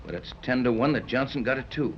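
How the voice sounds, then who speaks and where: A man acting a steady, capable sea captain in a 1930s radio serial. He explains and gives orders briskly, with natural authority, in a mid-pitched voice. The old recording is dull, with light noise. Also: close to an everyday voice. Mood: brisk, decisive.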